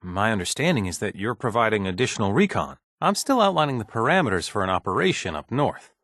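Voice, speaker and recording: A husky, low-pitched male scientist from a video game. He is polite and deferential, with a measured bureaucratic manner and a hesitant, uneasy edge. His intonation moves around freely, and the sound is close and dry. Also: very animated, a bit bright, no room sound, full character voice. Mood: polite, businesslike. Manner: hesitant, deferential, measured office-professional speech. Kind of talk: video game dialogue acting.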